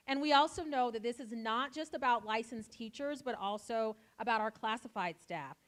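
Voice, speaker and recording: Woman, panel moderator, loud teacher voice, friendly. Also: on the high side. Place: event room with live audience.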